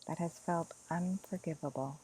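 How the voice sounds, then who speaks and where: A woman with a low, mellow voice leads a guided meditation. She is gentle and soft, leaving long, unhurried pauses between phrases. A faint hum with insect-like noise sits behind her. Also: rough recording. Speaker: meditation teacher in training.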